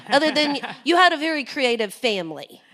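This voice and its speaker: A woman's voice: sits high, very animated, moderate pace, fairly bright tone. A woman hosting a live radio show, joking and folksy with plenty of fillers.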